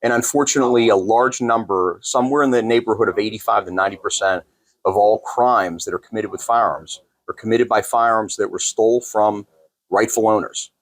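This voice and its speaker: An American man answering as a store owner in an interview, explaining things in measured, formal phrasing. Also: on the low side, rough recording.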